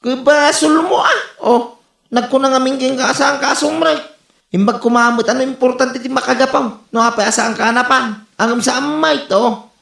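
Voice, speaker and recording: A woman's voice: sits high, normal speed, vocal fry, a bit bright. A woman acting in a comedy radio drama as an exasperated busybody who scolds. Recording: roomy, echoing sound.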